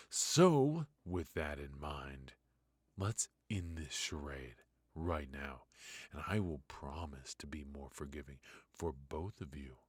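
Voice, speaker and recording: A man acting a ruthless, clever mafia boss in a noir ASMR roleplay, husky, deliberate and mockingly polite in his menace. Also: a bass voice, dry recording, exaggerated voice. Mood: controlled menace.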